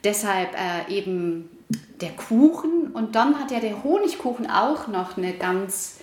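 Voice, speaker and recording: A woman in her forties, born in Iran, answering interview questions thoughtfully with many pauses and restarts. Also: medium pitch, lively intonation, darkish tone. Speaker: interviewee, housing project resident. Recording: roomy echo.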